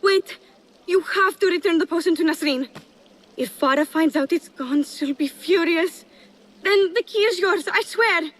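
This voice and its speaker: An actress playing a sly, scheming young woman in a video game, with a taunting, self-assured, unhurried manner. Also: very high-pitched, fairly bright tone, poor audio. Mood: urgent. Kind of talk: dramatic video game acting. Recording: music behind the voice. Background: game music.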